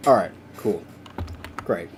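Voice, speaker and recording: A man's voice: low-pitched, slow, background noise. A young man chatting casually with viewers on a live stream while he works, rambling and swearing now and then.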